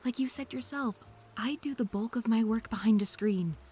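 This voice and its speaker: A woman's voice: on the high side, normal speed, mellow, breathy edge. A woman playing a snarky, defensive female hacker under interrogation in an audio drama, sarcastic and indignant.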